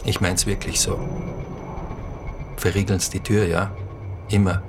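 A man's voice: low voice, level tone, measured pace, background noise. An actor playing a male night-train conductor in a radio drama, probing and insistently solicitous, repeating his warnings. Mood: insistent, uneasy.

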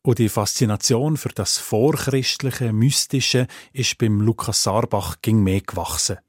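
A man's voice: on the low side, a little slow, dry recording, clean studio sound. A man with a Swiss German accent narrating a scripted radio report as its reporter.

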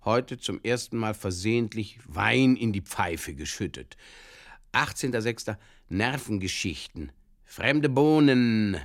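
A man playing a despairing drinker who reads out absurd diary entries in terse, deadpan fragments, in a comic audio drama. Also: fairly low pitch, slow pace. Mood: deadpan, uneasy.

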